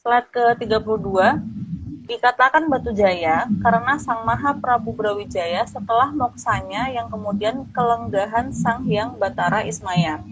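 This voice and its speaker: Female slide reader, slightly high, plain delivery; lo-fi recording with crowd behind. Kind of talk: reading slide text aloud. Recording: muffled lo-fi with crowd noise.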